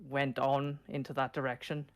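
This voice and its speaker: A soft-spoken man with a Welsh accent, shy and a little hesitant, chatting casually as a podcast guest. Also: medium pitch, flat delivery, normal speed, clear, resonant tone. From Wales. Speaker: podcast guest, video game challenge runner. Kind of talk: casual interview chat.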